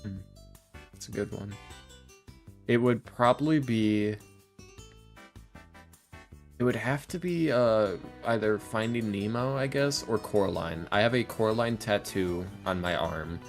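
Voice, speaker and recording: Low-voiced young man chatting casually. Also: a little slow, long pauses. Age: early 20s. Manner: rambling, informal and slangy. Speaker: interview guest, ASMR roleplay creator and voice actor. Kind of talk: casual podcast chat. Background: soft guitar music.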